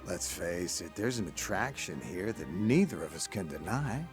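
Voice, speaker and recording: Game role: flirty playboy hero, deep voice, full of confidence. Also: male voice, big pitch swings, moderate pace, faint music behind. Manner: flirty, self-assured. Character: smooth, flirtatious, cocky playboy male superhero. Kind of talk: comedic game cutscene acting.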